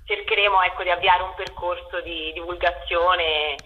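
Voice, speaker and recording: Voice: mid-range voice, steady tone, moderate pace, dull audio, a little hiss or hum. A young theatre director answering interview questions hesitantly, searching for words as they go.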